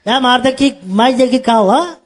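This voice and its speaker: A man's voice: very high voice, moderate pace. A man playing a cheerful, naive Tajik migrant man in Moscow on a comedy phone call, speaking broken Russian mixed with Tajik in a Tajik accent. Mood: bumbling.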